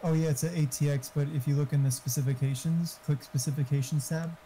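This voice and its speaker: A man's voice: on the high side, fairly even intonation, fast, warm tone. A man chatting casually in an informal, explanatory way, like someone helping a friend during a game. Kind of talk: casual chat while gaming. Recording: hissy, noisy voice chat.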